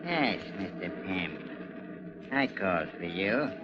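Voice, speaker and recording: An actor in a 1940s radio drama playing a cruel, paranoid ship's captain, menacing, mocking and explosive. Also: male voice, sits low, big pitch swings, slow, background noise, heavily acted. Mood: sneering, menacing. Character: tyrannical, paranoid ship's captain, cruel middle-aged father. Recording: dull, lo-fi 1940s radio recording.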